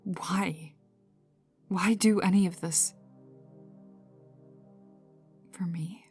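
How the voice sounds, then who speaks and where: A woman voicing a tough, bitter, sardonic young female villain in an intimate ASMR audio roleplay, often dropping into breathy half-whispers and swearing. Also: lowish voice, fairly even intonation, slow pace, darkish tone. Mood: suspicious, incredulous. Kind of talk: intimate ASMR roleplay, one side of dialogue. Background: ambient music.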